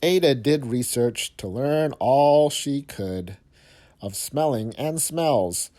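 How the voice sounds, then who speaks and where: A man with a soft, mellow tone reads a rhyming children's book aloud. He takes his time, leaving pauses and stressing key words for young listeners. His voice sits at a middling male pitch. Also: expressive. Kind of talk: expressive children's read-aloud of rhyming verse.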